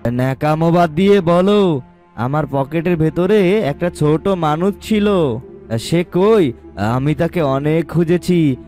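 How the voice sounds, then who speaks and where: A menacing cartoon sorcerer, acted out by a man, speaks in a fairly high voice at a slow, deliberate pace. He boasts and bullies as he threatens. Light music plays behind him. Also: mellow. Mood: demanding, menacing. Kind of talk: cartoon villain acting.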